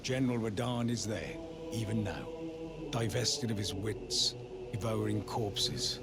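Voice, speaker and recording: Low-voiced theatrical castle storyteller. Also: male voice, lively intonation, measured pace. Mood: ominous. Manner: florid, dramatic storytelling. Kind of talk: video game character monologue. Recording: loud music behind the voice.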